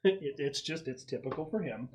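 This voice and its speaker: A man's voice: mid-range voice, a little hiss or hum. A man narrating a tabletop roleplaying game as game master, describing scenes in a conversational way.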